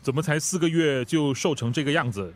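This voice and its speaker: An actor playing an older wealthy father, gruff but caring, in a Mandarin radio drama. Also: a little high, fairly quick, lightly acted. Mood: gruffly concerned.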